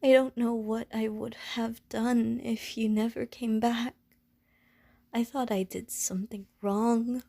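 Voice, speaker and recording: A woman playing a gentle, anxious, lonely Medusa who is wary of humans, speaking in careful, formal wording for an ASMR audio roleplay. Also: a little high, no echo. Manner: formal, careful, stilted wording, pleading and apologetic.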